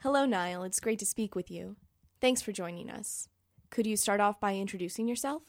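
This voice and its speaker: A woman hosts a radio interview and asks polite, clear questions. Her voice sits at a middle pitch and sounds dry and close. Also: a little fast, no echo.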